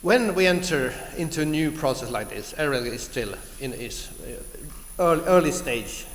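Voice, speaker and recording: Swedish-accented male delegate, slightly high voice, deliberate, echoey conference hall. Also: animated. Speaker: regional delegate. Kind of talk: spontaneous remarks at a meeting. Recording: echoey hall with long reverb.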